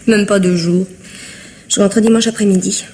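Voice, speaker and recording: An actress playing a poised, teasing young wife and stepmother in a French radio mystery play, dismissive and gently reproving. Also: mid-range voice, steady tone, moderate pace, a little hiss or hum, lightly acted. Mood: cool, polite.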